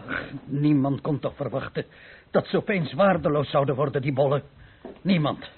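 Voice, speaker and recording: An actor playing a desperate, battered, ruined speculator in a radio play, plaintive and despairing. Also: male voice, on the high side, moderate pace, close to an everyday voice. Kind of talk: Dutch radio play acting. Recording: muffled, noisy old radio play recording.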